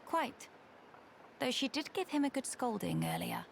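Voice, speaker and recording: A woman's voice: high-pitched, wildly swooping pitch, vocal fry, slight background noise, heavily acted. Composed young noblewoman, formal and courteous. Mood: polite. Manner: formal, measured, polite. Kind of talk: video game cutscene acting. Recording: game music behind the voice.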